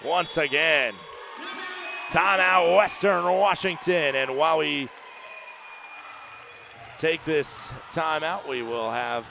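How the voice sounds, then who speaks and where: A man doing live play-by-play commentary on a college volleyball match, who rises to shouts on big plays. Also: on the high side, takes it slowly, creaky, pauses often, crowd noise, background noise.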